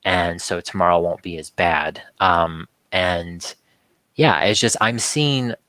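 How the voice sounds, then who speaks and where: Low-voiced man, halting and rambling. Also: a bit bright, no room sound. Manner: halting, repeats words and restarts sentences. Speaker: guest on a livestream talk show. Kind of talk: casual rambling chat.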